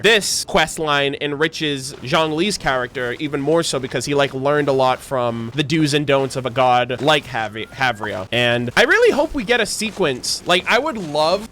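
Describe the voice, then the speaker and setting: A man's voice: a little high, a bit bright, slight background noise. A man giving casual game commentary as a streamer, talking in an enthusiastic, slangy, rambling way. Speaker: gaming streamer.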